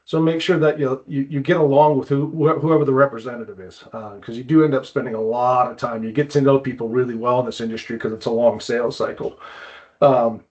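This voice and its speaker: A man's voice: mid-range voice, fairly even intonation, fairly quick, darkish tone. A man giving an informal explanatory presentation in a conversational way, on slightly dull lo-fi audio.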